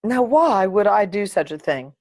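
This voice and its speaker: A woman hosting her own podcast, talking in a playful, animated and uninhibited way, close to the mic. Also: mid-range voice, normal speed, no echo. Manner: playful, animated, sings and makes silly sounds; uninhibited, swears. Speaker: podcast host. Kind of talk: solo podcast chat.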